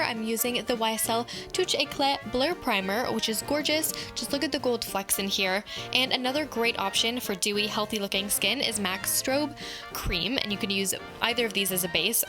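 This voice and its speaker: A woman's voice: on the high side, normal speed. A young woman in her twenties hosting a beauty tutorial, chatty and upbeat as she explains each step in a voice-over. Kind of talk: voice-over makeup tutorial narration. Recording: voice-over with clear background music.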